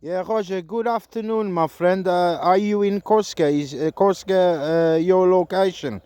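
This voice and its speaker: A man making halting, repetitive radio calls and casual commentary during an outdoor antenna test. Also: high-pitched, mellow, clear, resonant tone, no echo. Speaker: amateur CB radio operator testing an antenna. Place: outdoors in a park.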